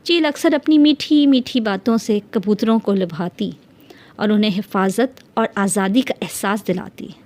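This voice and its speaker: A woman's voice: medium pitch, moderate pace, a little mellow. A woman narrating a scripted fairy tale as a storyteller.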